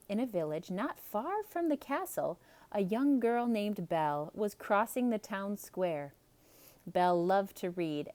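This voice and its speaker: A woman's voice: medium pitch, darkish tone, no room sound. A woman storyteller narrating a children's tale as a theatrical read-aloud.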